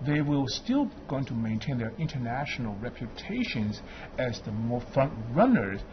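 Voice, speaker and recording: A man's voice: medium pitch, normal speed, muffled recording, a little hiss or hum. A man from Beijing, a guest on a studio panel, making his points with emphatic, listing delivery. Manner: animated, emphatic, lists points.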